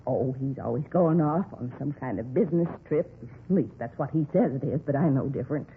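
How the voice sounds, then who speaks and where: A man's voice: mid-range voice, brisk, narrow, tinny audio, lightly acted. An actor playing a nosy, gossipy older woman who rambles confidingly, in a 1950s radio drama style. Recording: thin, noisy vintage radio recording.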